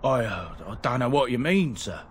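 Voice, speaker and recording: A man's voice: medium pitch, sing-song, moderate pace, slight background noise, lightly acted. An actor playing a cowardly, nervous male merchant in a fantasy game, hesitant and evasive. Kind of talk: video game voice acting.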